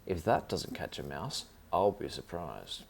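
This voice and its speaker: A man's voice: deep voice, very animated, measured pace, creaky, slightly rough, full character voice. A man voicing a lazy, scatterbrained fellow in a children's story, easygoing and pleased with himself. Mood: self-satisfied.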